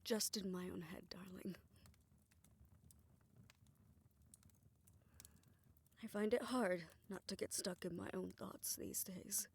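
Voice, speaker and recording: A woman playing a weary, affectionate older woman in an intimate romantic audio roleplay, speaking softly and reflectively in a measured way. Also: mid-range voice, gravelly, a little hiss or hum, close to an everyday voice. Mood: worried, melancholy.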